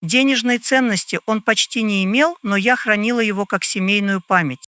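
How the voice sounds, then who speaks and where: A woman's voice: medium pitch, moderate pace, a bit bright, no echo, natural voice. A woman playing a composed landlady in an old London detective audio drama, speaking formally and a little hesitantly. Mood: reminiscing.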